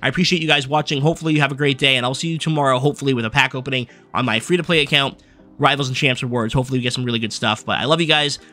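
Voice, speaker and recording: A young man giving a casual, rambling rundown of his game team from his desk, his voice going hoarse as he is losing it. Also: mid-range voice, fairly even intonation, bright, forceful tone. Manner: fast, rambling card-by-card rundown with fillers. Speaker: gaming YouTuber. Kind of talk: casual gameplay commentary. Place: small room at a desk.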